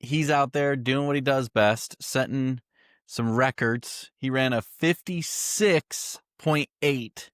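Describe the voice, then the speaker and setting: A man's voice: medium pitch, slow pace, a bit bright, clean tone, no echo, clean studio sound. A man co-hosting a casual motorsport podcast, thinking aloud with lots of restarts and eager remarks. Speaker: podcast co-host. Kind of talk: casual chat.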